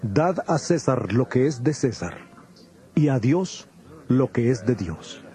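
An actor playing a solemn, firm male teacher with authority, speaking in a measured, declamatory way in a dramatized scripture recording. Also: medium pitch, expressive, dark tone. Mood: firm, admonishing.

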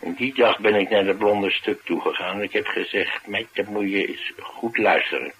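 An actor playing a tough, cynical, streetwise bodyguard in a radio play, talking in a colloquial, matter-of-fact way. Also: male voice, fairly low pitch, normal speed, a bit bright, husky, low-quality recording. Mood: coolly menacing. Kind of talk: naturalistic radio drama dialogue.

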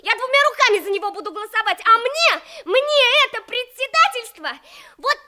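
An actress playing a pestering, excitable schoolgirl in a children's radio play, insistent and whiny. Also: very high voice, fairly quick, full character voice. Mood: fed up, insistent.

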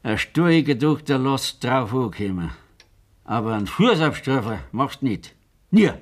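Old farmer father, Bavarian accent, stern, scolding, blunt, rustic, radio play, medium pitch. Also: big pitch swings, normal speed. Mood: stern, reproachful. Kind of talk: German radio play acting.

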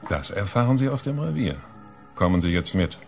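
An actor playing a stern, menacing chief inspector in a radio play, speaking in an officious hushed whisper. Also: male voice, deep voice, big pitch swings, fairly quick, creaky, soft background music, exaggerated voice. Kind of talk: radio drama acting.

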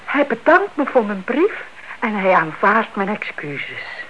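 An actress playing a fretful, suspicious older aunt in a Dutch radio play, speaking politely and anxiously. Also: female voice, mid-range voice, very animated, a little slow, fairly bright tone. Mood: impressed. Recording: dull, hissy old radio recording.

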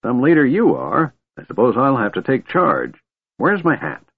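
A man's voice: medium pitch, wildly swooping pitch, moderate pace, slightly rough, dry recording, phone-quality sound, pitched above the performer's own voice, full character voice. A man voicing a doddering, pompous, absent-minded old wizard in an audiobook, muttering and indignant. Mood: indignant, flustered.

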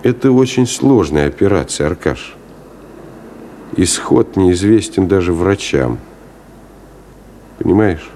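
A man's voice: sits low, animated, slow, long pauses, dark tone, slight background noise. An actor playing an earnest, conscientious middle-aged man in a 1970s Soviet radio play, pleading with a friend in a hesitant, emotional way. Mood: urgent, grave.

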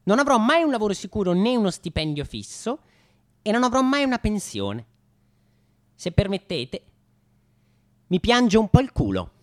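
A man's voice: high-pitched, sing-song, normal speed, long pauses. A man in his late twenties performing a satirical radio comedy monologue, theatrical and mock-nostalgic, with rhetorical questions and hesitant repetitions. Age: late 20s.

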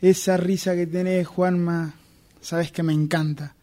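A man's voice: high-pitched, little pitch movement, measured pace, dark tone. A man with a Buenos Aires accent playing a lovestruck, insistent, awkward man in amateur radio theatre, earnest and hesitant. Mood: tender, nervous. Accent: Argentine, Buenos Aires.

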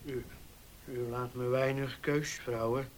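An actor playing a humble, easily cowed older horse handler in a Dutch radio play, hesitant and deferential. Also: male voice, medium pitch, flat delivery, slow, close to an everyday voice.

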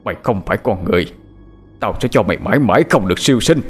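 Guy doing a spiteful growling husband in an audio horror story, cursing and resentful, low voice. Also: animated, fast talker, soft background music, lightly acted. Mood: furious, vengeful. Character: bitter cursing male official hating his dead wife.

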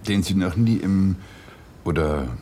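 A considerate police detective in a radio play. His voice is deep. He questions people in a restrained, slightly halting way, with faint ambient noise behind. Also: warm tone. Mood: probing. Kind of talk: radio drama acting.

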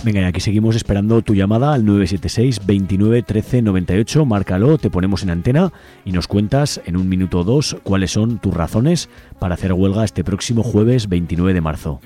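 Spanish guy hosting live radio, warm dark low voice, faint music behind. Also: fairly quick. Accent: Spain. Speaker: radio host. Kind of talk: live radio presenting, inviting listeners to call.

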